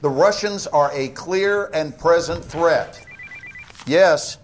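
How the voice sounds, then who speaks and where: A man's voice: fairly high pitch, slow pace, a little hiss or hum. An American man playing a stern, dismissive government interrogator in a game cutscene. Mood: dismissive, assured. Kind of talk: dramatic game cutscene acting.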